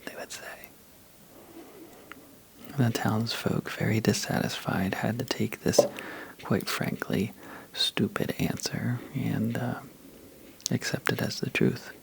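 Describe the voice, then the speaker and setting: A man telling a sleepy ASMR bedtime story in a soft, breathy half-whisper, halting and drowsy, with long pauses. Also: medium pitch, steady tone, low-quality recording.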